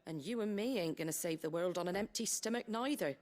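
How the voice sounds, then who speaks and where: A woman's voice: fairly low pitch, fairly quick, darkish tone, close to an everyday voice. Actress as a wry, earthy British innkeeper woman. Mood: brisk, cheerful. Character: plain-spoken innkeeper woman, brisk, wry, tough but kindly. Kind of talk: video game character dialogue. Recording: game music behind the voice.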